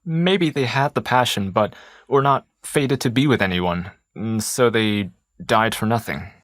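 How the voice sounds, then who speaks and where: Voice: mid-range voice, unhurried, no room sound, clean studio sound, natural voice. An actor playing a prim, easily embarrassed young person in a stage play. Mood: reflective. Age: young adult.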